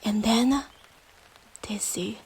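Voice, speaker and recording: A woman's voice: mid-range voice, a little mellow, slightly rough, full character voice, rough recording. A woman playing a young, obsessive yandere girlfriend in a roleplay monologue, syrupy and menacing, speaking intimately to her partner with a jealous intensity. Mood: dark, tender obsession. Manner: slow, sugary endearments swinging into intense jealous outbursts. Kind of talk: dramatic roleplay monologue addressed to the listener.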